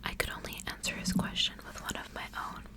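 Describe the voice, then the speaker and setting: Whispering woman, ASMR reader. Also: fairly quick. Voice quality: soft unvoiced whisper. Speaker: ASMR host reading a storybook aloud.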